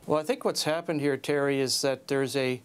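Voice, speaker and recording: A man answering an interview question in halting phrases as a politician. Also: mid-range voice, steady tone, clear, resonant tone. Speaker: defeated election candidate.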